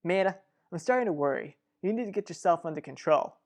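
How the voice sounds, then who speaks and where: A woman's voice: fairly low pitch, animated, fast, darkish tone, lightly acted. A woman playing a level-headed, exasperated, protective sibling in an old-fashioned radio soap opera, matter-of-fact and chiding.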